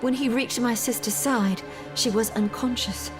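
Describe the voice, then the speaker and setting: Actress as a troubled young gentlewoman, music behind. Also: fairly high pitch, natural voice. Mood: anguished, dramatic. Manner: measured, formal, earnest storytelling. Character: troubled young gentlewoman recounting her sister's death. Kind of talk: audio drama acting, dramatic narration.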